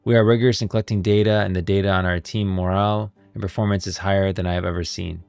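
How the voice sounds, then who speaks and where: A man with a low, creaky voice talks casually as a livestream host. His delivery is informal and halting, often repeating phrases as he thinks aloud. The sound is close and dry, with faint music underneath. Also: fairly even intonation, a little mellow, dry recording. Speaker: streamer, host of a gaming lore stream. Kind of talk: casual livestream chat, reading posts aloud.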